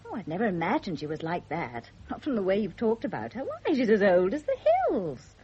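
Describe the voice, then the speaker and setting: An actress playing a girlish, impulsive young British mistress, besotted and jealous, with a breathy voice, in radio drama style. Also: medium pitch, huge pitch swings, brisk, warm tone, slight background noise. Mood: girlish, curious. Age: young adult. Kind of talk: 1970s radio drama acting. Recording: muffled vintage radio drama recording.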